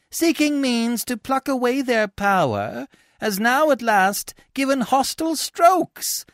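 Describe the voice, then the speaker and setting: A man's voice: very high voice, a bit bright, no room sound, clean studio sound, pitched above the performer's own voice, exaggerated voice. A man voicing a stern Roman tribune who pronounces judgment in a raised, formal manner.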